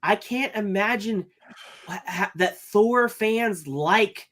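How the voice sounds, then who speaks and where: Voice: medium pitch, takes it slowly, a bit bright. A podcast co-host giving a casual movie review, earnest and thinking aloud as they work through long lists of points.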